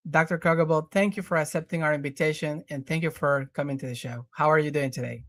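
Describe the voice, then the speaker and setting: A man's voice: a little high, steady tone, quick pace, fairly bright tone. A man hosting a podcast interview, talking casually with many fillers and restarts. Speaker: podcast host.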